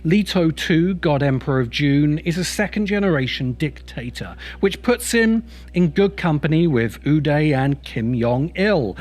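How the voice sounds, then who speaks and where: A man's voice: a little high, expressive, unhurried, hardly any pauses, a little mellow. A man delivering scripted video essay narration in an emphatic, opinionated, rhetorical manner. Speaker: host and writer of science fiction video essays. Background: background music.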